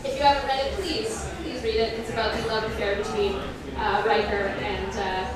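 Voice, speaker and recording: A woman performing a comedic fan fiction reading on stage, nerdily enthusiastic, mixing mock-dramatic delivery with deadpan, self-deprecating asides. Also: medium pitch, normal speed. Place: indoor live comedy reading event before an audience. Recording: roomy echo, distant mic, audience crowd noise. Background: audience laughter.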